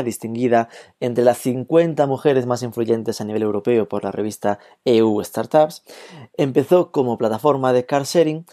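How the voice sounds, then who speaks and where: A man hosting a podcast interview, talking briskly with playful asides. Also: mid-range voice, mellow. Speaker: podcast host.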